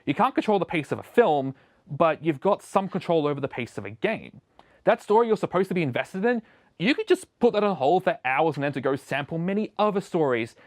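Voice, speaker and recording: A man's voice: a little high, no room sound. A man presenting a scripted opinion video essay as a show host, recorded as a close voice-over.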